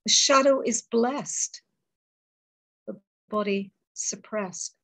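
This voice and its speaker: A woman poet reading her poems aloud and talking between them, in a measured, deliberate way with pauses between phrases. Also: mid-range voice, sharp tone, rough recording. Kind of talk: poetry reading.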